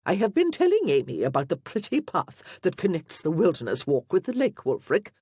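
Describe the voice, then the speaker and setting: A woman's voice: lowish voice, wildly swooping pitch, fairly quick, darkish tone, husky, dry recording, clean studio sound, exaggerated voice. A woman as an imperious older aristocratic lady. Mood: commanding. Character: commanding older aristocratic Marchioness, imperious aunt.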